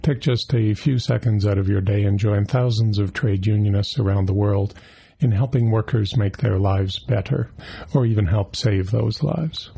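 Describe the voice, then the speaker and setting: Gravelly low-voiced man reading news. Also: normal speed. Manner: steady read news delivery. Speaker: labor news reporter. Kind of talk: scripted news report.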